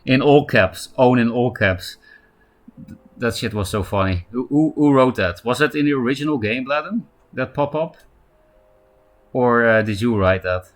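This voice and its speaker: A man with a Polish accent streaming a card game live, chatting casually and answering viewers by name. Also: mid-range voice. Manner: chatty, answers chat by name, quick asides. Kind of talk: casual live-stream chat while playing.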